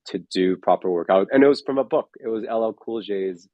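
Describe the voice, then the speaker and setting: A man's voice: fairly low pitch, fairly quick, no room sound, phone-quality sound. A man in his forties, a fitness coach, talking in a casual chat, rambling with lots of fillers and restarts. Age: 40s.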